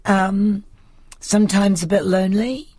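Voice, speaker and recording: A woman's voice: medium pitch, fairly even intonation, unhurried, a little mellow, husky, dry recording. A woman interviewing a guest in a conversational way.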